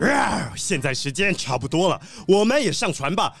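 Guy doing a proud panther ship owner for a kids audio story, highish male voice, super lively and theatrical. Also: moderate pace, gravelly, soft background music, full character voice. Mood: hearty, proud. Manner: theatrical, exclamatory, welcoming. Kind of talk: children's audio drama acting.

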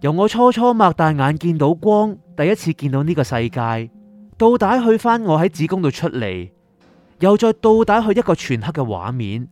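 Male actor, wistful orphaned young man in an audio drama, Hong Kong Cantonese, high-pitched with lively swings. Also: normal speed. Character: wistful dead young man, abandoned orphan, narrating memories. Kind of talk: radio drama narration and dialogue. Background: faint music.

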